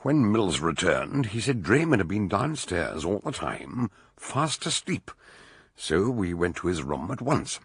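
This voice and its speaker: An imposing amateur sleuth in a radio mystery. His low voice is gravelly and rough, with animated swings, and he speaks with assured, probing deliberation. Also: a little slow, no echo, studio quality, heavily acted. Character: shrewd, imposing male amateur detective and scholarly doctor. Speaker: detective and narrator. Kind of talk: radio drama narration and dialogue.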